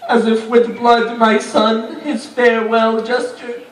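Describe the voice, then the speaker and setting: Male reciter, very high register, mournful devotional chant, drawn-out lines, echoing space. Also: monotone. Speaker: religious reciter. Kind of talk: melodic devotional lament recitation of poetry. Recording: echoing, distant-miked recitation.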